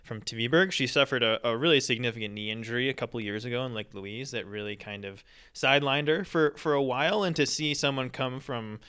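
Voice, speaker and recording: A man's voice: medium pitch, fairly quick, sharp tone, clean tone. A man co-hosting a sports podcast, giving opinionated commentary with lots of ums and restarts. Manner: opinionated ranting, frequent fillers. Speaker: podcast co-host. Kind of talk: casual podcast commentary on ski racing.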